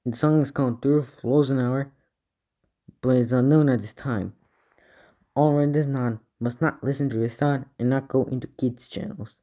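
A man voices fictional emergency alerts in a mid-range voice. He reads like an improvising amateur announcer, halting often and correcting his own slips as he issues official-style instructions. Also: mid-range voice, steady tone, dark tone. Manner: halting delivery, stumbling and correcting himself.

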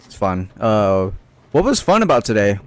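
A man's voice: lowish voice, very animated, moderate pace, clear, resonant tone, dry recording. A man chatting casually in slang, a little hesitantly, as he improvises a gaming skit. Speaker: host of a gaming horror skit video. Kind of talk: casual improvised skit chat in a social VR game.

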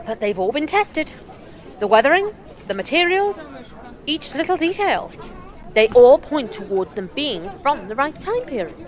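Plucky English female reporter, audio drama; higher voice, animated, teasing and wry. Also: normal speed. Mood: awed. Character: plucky, cheeky English woman freelance journalist. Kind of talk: full-cast audio drama acting.

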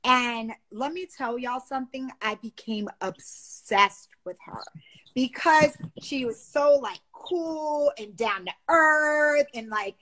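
Animated woman host, slightly high voice, casual chat over a phone stream. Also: slow pace, low-quality recording. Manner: animated, exclamatory, gestures a lot. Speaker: livestream host. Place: bedroom, seated on a bed. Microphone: phone on a video call.

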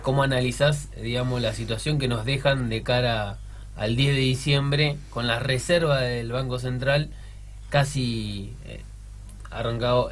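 A man hosting a radio interview, asking halting questions. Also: mid-range voice. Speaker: radio host. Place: radio studio.

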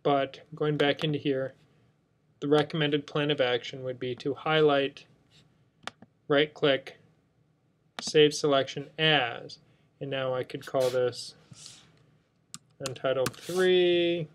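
A man's voice: fairly high pitch, steady tone, slow pace, long pauses. A man narrating an unscripted screen tutorial as an instructor, explaining things step by step. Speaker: software instructor. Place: indoors, screen recording voice-over.

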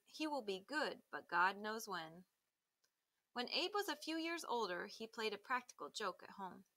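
A woman reading a children's article aloud like a teacher, with careful, expressive emphasis. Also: on the high side.

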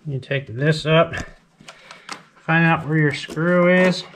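A man's voice: on the high side, steady tone, takes it slowly, a bit bright, a little hiss or hum. Man explaining a DIY build as he works. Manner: plain step-by-step explaining while working with tools. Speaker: channel host. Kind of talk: casual how-to narration. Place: driveway outdoors, then house kitchen floor. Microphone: body-worn action camera.

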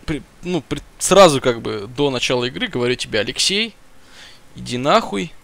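Gravelly young man chatting over a game. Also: moderate pace. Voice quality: gravelly, swears freely. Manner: excitable, pitch jumps when yelling. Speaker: streamer playing a game. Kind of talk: casual gaming chat with friends.

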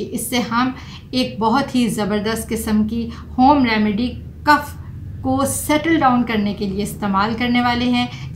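High-voiced woman in an echoey room. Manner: explanatory, mixes in English terms. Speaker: doctor presenting home remedies. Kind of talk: health explainer to camera. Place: small furnished room indoors. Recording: roomy echo, faint background noise.

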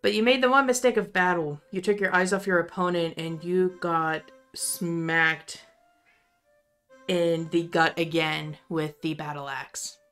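A woman running a tabletop roleplaying game as game master, narrating with slow, dramatic storytelling. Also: fairly low pitch, fairly even intonation, a bit bright, faint music behind.

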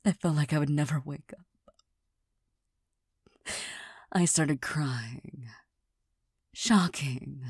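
A woman voicing a proud, touchy young girlfriend who hides her tenderness, speaking in a soft half-whisper with stammers and nervous laughs into a close mic. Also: low-pitched, extremely slow, long pauses, slightly rough, dry recording, heavily acted. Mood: vulnerable, defensive. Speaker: voice actor in a listener roleplay. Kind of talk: whispered girlfriend comfort roleplay.

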